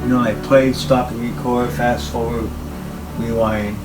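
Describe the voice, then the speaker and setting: A man giving an informal, halting talk about equipment as a hobbyist presenter, speaking in short phrases. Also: medium pitch, level tone, music in the background, rough recording. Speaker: hobbyist presenter showing his tape machines.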